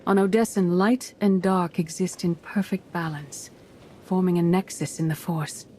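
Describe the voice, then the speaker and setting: Game role: actress as weary female Jedi mentor, low mellow voice, grave measured delivery, cryptic air. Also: steady tone, a little hiss or hum, lightly acted. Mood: grave, instructive. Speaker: video game character voice actor. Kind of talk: scripted dramatic game dialogue.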